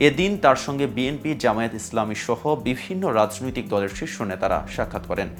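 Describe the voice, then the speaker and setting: A man with a Bangladeshi accent presenting scripted news in a formal, measured newsreader delivery. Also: medium pitch, animated. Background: music bed.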